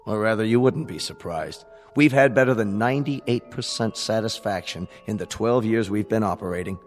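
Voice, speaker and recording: An actor in a radio drama playing a smooth, courteous salesman with polished, businesslike patter. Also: lowish voice, normal speed, lightly acted. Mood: smooth, reassuring. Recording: long hall reverb, music underneath.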